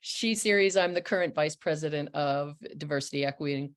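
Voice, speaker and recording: Woman, moderator, low voice, video call, reads introductions and agreements. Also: fairly quick.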